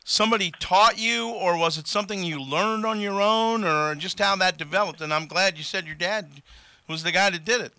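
Male sports co-host, casual interviewer. Piercingly bright, crisp, higher-pitched voice. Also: moderate pace, no echo, poor audio. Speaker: sports talk show co-host.

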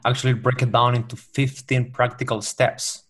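A man hosts an interview with an easy, encouraging manner. His pitch is mid-range for his sex, and he nudges the talk along with quick agreeing sounds. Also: flat delivery, a bit bright. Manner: encouraging, frequent backchannels. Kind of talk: casual interview questions.

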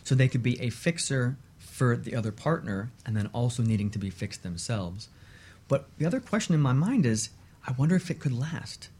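Male guest expert, middle pitch with a husky edge, explanatory and conversational on a talk show. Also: lively intonation, moderate pace, a little mellow. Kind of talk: conversational radio interview.

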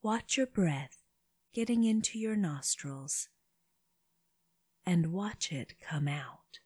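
A woman leading a guided meditation for children, speaking gently in short phrases with long pauses, her breathy voice often dropping toward a whisper. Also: on the low side, lively intonation, no room sound. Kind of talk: slow guided body scan meditation.

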